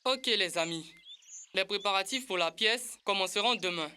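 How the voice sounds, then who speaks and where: A woman's voice: medium pitch, moderate pace, pushed and bright, clear, resonant tone, lightly acted. A woman voicing a sceptical, resourceful young boy in an educational cartoon. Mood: brisk. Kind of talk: dubbed educational cartoon acting.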